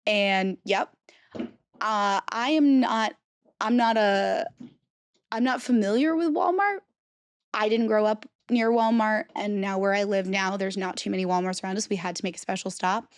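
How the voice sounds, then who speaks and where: A woman's voice: mid-range voice, normal speed, clean tone, no echo, studio quality. A woman in her thirties co-hosting a podcast, chatting casually and laughing often, her throat still phlegmy from a cold. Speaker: podcast co-host. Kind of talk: casual chat.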